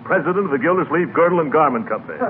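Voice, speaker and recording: A man's voice: a little high, lively intonation, very fast, natural voice. An actor playing a pompous, easily flustered middle-aged businessman who hems and splutters, in 1940s radio comedy style. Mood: pompous, genial. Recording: scratchy tinny 1940s radio broadcast, noisy.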